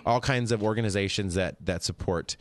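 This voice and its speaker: A joking man in his late thirties hosts a casual talk show, chatty and quick to restart his sentences. His voice sits lower than usual today, and the sound is dry and close with no room echo. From Utah, US. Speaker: podcast host. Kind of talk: casual chat.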